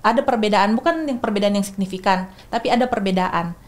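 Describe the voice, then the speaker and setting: A woman's voice: medium pitch, fairly quick. Young woman from explaining. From Makassar, Indonesia. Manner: thoughtful, many restarts and fillers. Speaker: guest speaker. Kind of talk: explanatory podcast talk.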